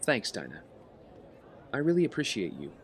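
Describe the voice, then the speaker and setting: A man's voice: mid-range voice, huge pitch swings, normal speed, a faint crowd, slight background noise. A man playing an earnest young vigilante heir with a double life, sincere and reflective, in an audio drama.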